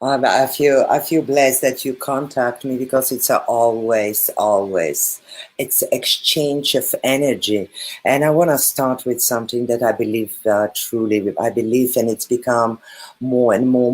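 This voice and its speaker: Woman, Bulgarian accent, very deep voice, earnest, repetitive phrasing, video call. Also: darkish tone, poor audio. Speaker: guest, spiritual teacher. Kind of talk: casual video call chat.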